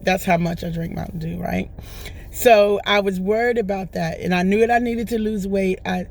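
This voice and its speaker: Hoarse lady rambling on her vlog, chatty, keeps going "right?". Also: medium pitch, fairly even intonation, normal speed, darkish tone. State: hoarse, recovering from lost voice. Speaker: vlogger. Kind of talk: casual testimonial chat.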